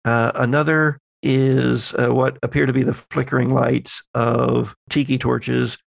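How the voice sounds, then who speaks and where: Gravelly, low-creaking male game master. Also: little pitch movement, unhurried, no echo. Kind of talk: improvised horror tabletop game narration.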